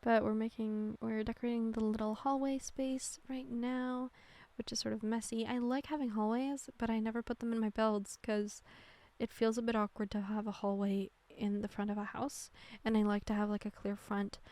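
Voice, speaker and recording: A young woman chats casually, rambling and correcting herself with plenty of fillers. Her fairly high voice carries a gravelly vocal fry. She is recorded close up on a dry microphone, with no room sound. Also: little pitch movement, normal speed, darkish tone, no room sound. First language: Norwegian. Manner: rambling, chatty, self-correcting with many fillers. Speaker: game builder narrating a speedbuild. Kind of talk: casual voice-over chat.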